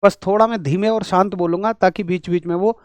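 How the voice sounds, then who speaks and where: A male teacher with a rural Hindi accent and a sore throat, giving an energetic, chatty online physics class straight to his students. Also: high-pitched, fairly quick, no room sound.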